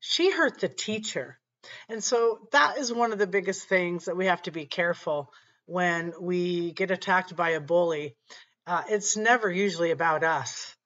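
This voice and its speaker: A middle-aged woman with a slightly raspy voice, telling a personal faith story earnestly to her webcam at home. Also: lowish voice, moderate pace, fairly bright tone, highs cut off. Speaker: channel host. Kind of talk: faith-based personal talk to camera. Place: small room at home, indoors.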